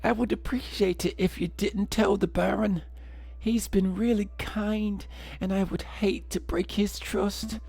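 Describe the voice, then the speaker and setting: A man's voice: sits high, steady tone, measured pace, gravelly, a little mellow, husky, faint music behind, voice pushed up, heavily acted. A man voicing a polite, apologetic young housemaid bird who speaks hesitantly in a video game. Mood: sheepish, confiding.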